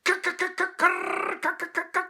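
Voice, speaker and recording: Man playing a cheeky, high-pitched young squirrel. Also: completely flat delivery, extremely slow, pushed and bright, exaggerated voice. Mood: scolding. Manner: sing-song, taunting rhymes. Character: cheeky young male squirrel, impertinent, singing riddles.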